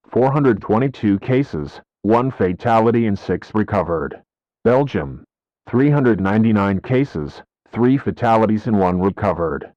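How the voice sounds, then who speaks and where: A synthetic-sounding man reading a scripted emergency bulletin in a formal, flat, list-like manner. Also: fairly low pitch, dry recording. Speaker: emergency alert announcer. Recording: dull lo-fi audio.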